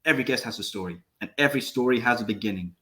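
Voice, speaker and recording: A man's voice: medium pitch, racing, sharp tone. A man hosting a podcast interview in a chummy, upbeat way, hyping up his guest. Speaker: podcast host.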